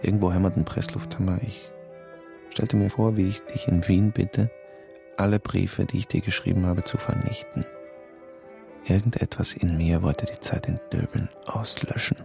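A man tells a radio play in the first person. His voice sits low and gravelly, and he keeps it quiet and inward. Soft music runs faintly under the studio recording. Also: steady tone, moderate pace. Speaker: narrator and lead in a radio play.

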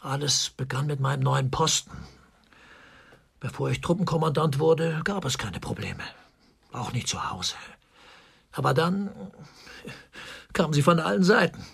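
An actor playing a defensive, tormented army officer under interrogation in a German radio crime drama, with a husky, gravelly voice. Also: male voice, medium pitch, animated, unhurried, pauses often, a bit bright, full character voice. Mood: subdued, confessional. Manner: agitated, swinging between indignant outbursts and subdued confession. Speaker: suspect, military governor.

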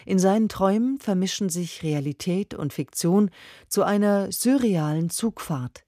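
A woman's voice: medium pitch, unhurried, dark tone, dry recording. A woman announcer reading a scripted introduction to a radio drama, close on a studio microphone.

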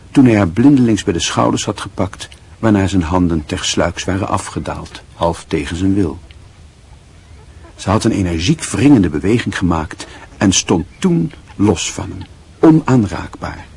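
A man's sombre narration for a radio drama. The voice is deep and creaky with a heavy rasp, and the delivery is measured, literary and wry. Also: darkish tone, exaggerated voice.

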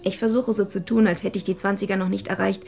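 An actress playing a shy, frank twenty-year-old would-be writer, naive and earnest, in a radio drama. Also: female voice, mid-range voice, level tone, quick pace, creaky, a little mellow, faint music behind, natural voice. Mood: candid.

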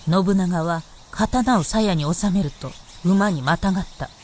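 A woman's voice: lowish voice, unhurried, a little mellow. A woman reading scripted narration for a radio drama, with music behind her.

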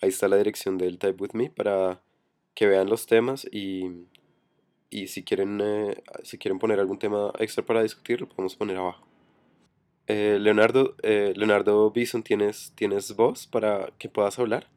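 A hoarse man with a cold, running an online voice chat meeting and speaking hesitantly with many fillers. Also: on the low side, little pitch movement, moderate pace, a little mellow. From Colombia. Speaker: meeting coordinator. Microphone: computer headset for voice chat.

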